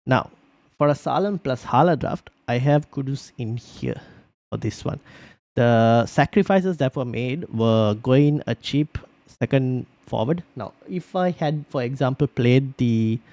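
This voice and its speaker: A man's voice: medium pitch, mellow, no echo. A man hosting a casual fantasy football live stream, reading viewer comments aloud and thinking through team choices with lots of fillers and restarts.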